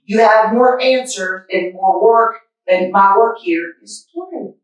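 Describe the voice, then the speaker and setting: A woman's voice: mid-range voice, takes it slowly, dull audio, lightly acted. Friendly old man from a children's storybook, cheery and drawn out, voiced by a woman, roomy distant sound. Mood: cheerful. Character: friendly old man who flies a balloon. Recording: echoey room, mic far off.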